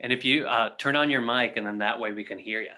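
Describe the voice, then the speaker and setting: Bright, encouraging male panel moderator. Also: medium pitch, quick pace. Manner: friendly, encouraging.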